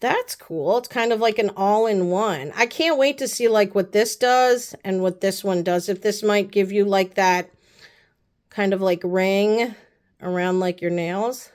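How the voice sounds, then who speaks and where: A woman's voice: mid-range voice, a little slow, darkish tone. A woman vlogger, sick with the flu, chatting casually and rambling with lots of fillers while unboxing a haul. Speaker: beauty vlogger.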